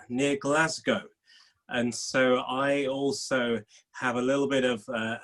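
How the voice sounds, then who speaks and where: A man's voice: mid-range voice, a bit bright. Male panel host, mid-range voice, upbeat and welcoming. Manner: enthusiastic, welcoming. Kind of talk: moderating a panel discussion.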